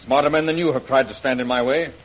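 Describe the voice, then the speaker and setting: A man's voice: mid-range voice, steady tone, fast talker, slightly rough, lightly acted. A man playing an arrogant, cruel, domineering villain in a 1930s radio serial, with a sneering theatrical delivery. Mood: smug menace. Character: arrogant, menacing male revolutionist villain, cruel and gloating. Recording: muffled, lo-fi old radio recording.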